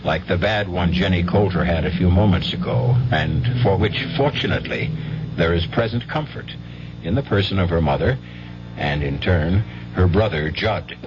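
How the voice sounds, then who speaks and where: A man hosting and narrating a 1970s radio mystery with deliberate, ominous theatricality. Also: low voice, heavy background noise. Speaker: host and narrator. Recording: dull 1970s AM radio broadcast. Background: loud dramatic music.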